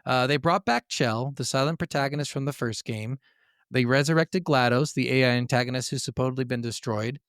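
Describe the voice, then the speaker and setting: A man's voice: mid-range voice, a little fast, a bit bright, smooth, no room sound, studio quality. A man co-hosting a gaming podcast, moving between narration and casual, blunt, sweary chat. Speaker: podcast co-host.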